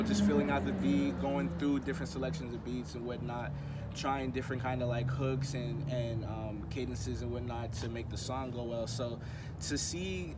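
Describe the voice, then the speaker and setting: A young man in his twenties, a rapper from the United States, talking reflectively in a casual interview with lots of ums and you-knows. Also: medium pitch. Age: 20s. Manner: laid-back, reflective, many fillers like um and you know. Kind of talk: casual conversation. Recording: outdoor traffic noise.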